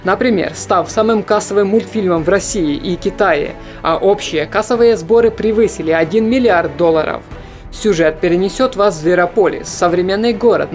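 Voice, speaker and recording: A narrator reading a scripted countdown of animated films in a steady, informative voice-over. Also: mid-range voice. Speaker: film channel narrator.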